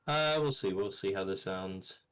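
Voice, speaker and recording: A man with a bassy voice talking over voice chat on his own mic, bantering with a teasing, deadpan humor. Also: normal speed, clear, resonant tone. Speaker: friend on voice chat. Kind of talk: casual banter. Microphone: own microphone over voice chat.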